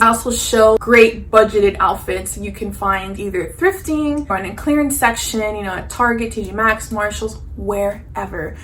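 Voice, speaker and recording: A woman in her thirties chatting casually to the camera while doing her makeup, animated and full of fillers and jokey asides. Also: a little high. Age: 30s. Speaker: beauty vlogger.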